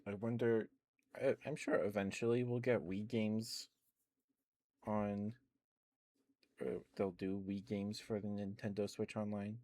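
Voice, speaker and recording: A young man chatting in a soft, rambling ASMR style, wandering between thoughts with lots of fillers and quiet laughs, close to the microphone. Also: lowish voice, flat delivery, a little slow, long pauses. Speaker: ASMR streamer.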